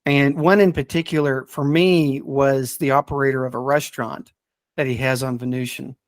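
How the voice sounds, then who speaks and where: A man in a casual podcast discussion, speaking in a hesitant, halting way and often repeating words as he reviews stories. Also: mid-range voice, steady tone, no room sound, clean studio sound.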